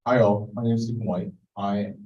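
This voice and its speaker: Young male student, lowish voice, halting restarts, off-mic in a roomy hall. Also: little pitch movement, far from the mic, lo-fi sound. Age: young adult. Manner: casual, repeats words when starting sentences. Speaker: student senate candidate.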